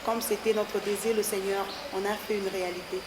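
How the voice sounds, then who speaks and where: A woman's voice: medium pitch, level tone. Devout woman, echoey room. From DR Congo. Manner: measured, devout, with some pauses. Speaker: guest, gospel singer. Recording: echoey room with background noise.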